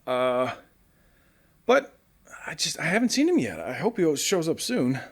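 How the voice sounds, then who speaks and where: Man playing a high-pitched, animated radio host. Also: unhurried, exaggerated voice. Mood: nervous, awkward. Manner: chatty, stammering. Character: nervous, friendly male radio show host after the apocalypse. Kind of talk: scripted radio audio drama.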